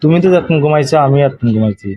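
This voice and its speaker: Man, raspy voice, quick pace, casual teasing chat. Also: mid-range voice, expressive, mellow, no room sound. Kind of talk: casual intimate chat on a call. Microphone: phone video call. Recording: dull, lo-fi call recording.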